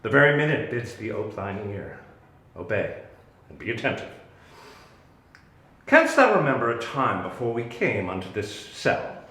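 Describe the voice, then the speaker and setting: An actor playing a protective, commanding exiled magician duke and father, in solemn, deliberate Shakespearean verse. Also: fairly low pitch, sing-song, sharp tone, exaggerated voice. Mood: probing, commanding. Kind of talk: Shakespearean dramatic reading. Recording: roomy, echoing sound.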